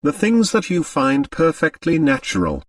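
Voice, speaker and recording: A man's voice: fairly high pitch, normal speed, no echo, studio quality, distant mic, pitched above the performer's own voice. A man voicing a big, middle-aged storybook doctor, breezy, blustering and emphatic in his indignation. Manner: energetic, emphatic, fuming.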